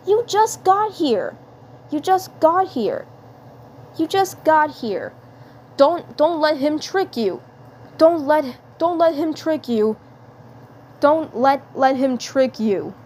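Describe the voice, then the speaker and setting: A woman voicing an excitable, insistent cartoon schoolboy who speaks emphatically and repeats himself. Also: high-pitched, a little hiss or hum, voice pushed up, exaggerated voice. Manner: emphatic, pleading, repeats phrases.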